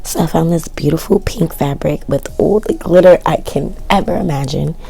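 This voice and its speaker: Low, gravelly woman with a worn voice. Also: normal speed, dark tone, faint music behind. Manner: chatty, self-mocking, shrugging asides. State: voice worn from yelling, cracking. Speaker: sewing vlogger. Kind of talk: casual DIY voice-over narration.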